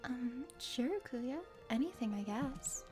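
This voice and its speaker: A woman voicing a friendly, awkward young adventurer heroine, hesitant, casual and gently teasing, in an ASMR play-through of a dating game. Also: on the high side, animated, slow, music in the background. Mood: startled, teasing.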